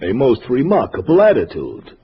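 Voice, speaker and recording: A muffled, slightly noisy old radio recording carries a menacing diplomatic courier. He is haughty, suspicious and clipped, with animated pitch in 1950s radio drama style. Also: moderate pace.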